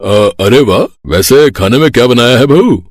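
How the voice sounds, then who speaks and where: A man voicing the male characters of a cartoon family story in a plain, steady manner. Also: mid-range voice, normal speed, dry recording. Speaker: voice actor for the husband, father-in-law and doctor. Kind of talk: cartoon dialogue acting.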